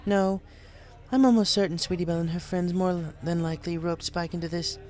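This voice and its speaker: A man voicing a refined, poised female pony in a storybook reading, with a formal, polite and gently teasing manner. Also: sits high, fairly even intonation, brisk, voice pushed up, lightly acted. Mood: warm, fond.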